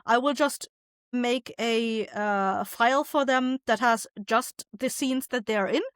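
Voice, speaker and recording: High-voiced woman explaining her work, dry sound. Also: slow pace, smooth, dry recording. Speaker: interview guest, audio drama director. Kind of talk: conversational explanation.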